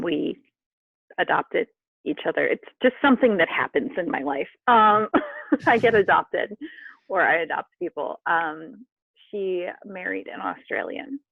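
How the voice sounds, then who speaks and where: An American woman, a podcast guest, chatting casually in a lively, eager, talkative way. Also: lowish voice, gravelly, slightly rough, no echo. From southern US. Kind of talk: casual chat. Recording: tinny phone-like call line.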